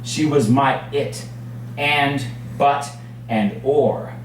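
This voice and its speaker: A man performing his own poetry at a live reading, with measured, dramatic phrasing and deliberate pauses. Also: on the high side. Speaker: poet reading own work. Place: indoors at a cafe poetry reading. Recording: very echoey room, distant mic, faint noise.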